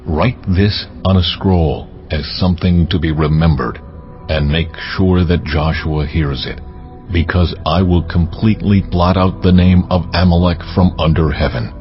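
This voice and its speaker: Male actor for solemn divine ruler, deep voice, authoritative, scripture drama, slightly dull recording. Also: measured pace, faint music behind. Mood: stern decree. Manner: solemn, commanding delivery.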